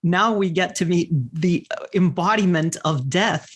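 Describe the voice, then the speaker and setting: A man hosting a podcast, musing out loud in a casual discussion about pop culture. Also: high voice, unhurried. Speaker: podcast host.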